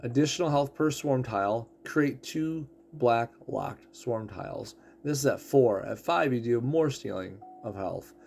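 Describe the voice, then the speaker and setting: A man casually explaining a game character's abilities, throat rough as he is losing his voice. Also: mid-range voice, expressive, measured pace, few pauses, darkish tone, slight background noise. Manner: explanatory, walks through ability text. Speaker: game streamer.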